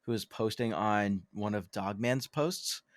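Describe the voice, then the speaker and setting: A man's voice: lowish voice, a little slow, no echo, clean studio sound. A man co-hosting a podcast in casual chat, rambling and self-deprecating, with many ums and restarts. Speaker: podcast co-host.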